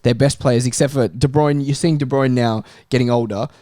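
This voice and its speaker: A man talks football in a casual panel style. His voice sits at a middling pitch with a soft, dark tone, recorded dry and close. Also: steady tone, fairly quick, dry recording.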